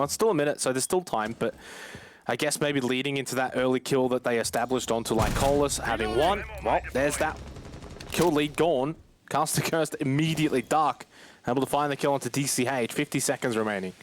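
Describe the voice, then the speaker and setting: A man giving live esports commentary in an analytical, conversational manner. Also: mid-range voice. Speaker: esports match caster. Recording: gunfire game noise behind voice. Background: video game gunfire and clicks.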